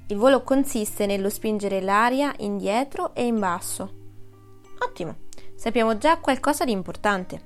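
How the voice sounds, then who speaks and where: A woman's voice: mid-range voice, expressive, normal speed, faint music behind, close to an everyday voice. A woman voicing a fussy, bookish male cat who is easily indignant, in a read-aloud story. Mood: lecturing. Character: fussy know-it-all male cat scholar.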